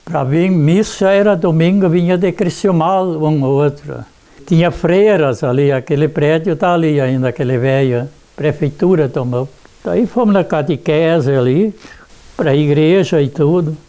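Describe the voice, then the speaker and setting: An older man with a rural southern Brazilian accent, reminiscing informally in halting, colloquial speech with repeated words. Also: a little high, warm tone.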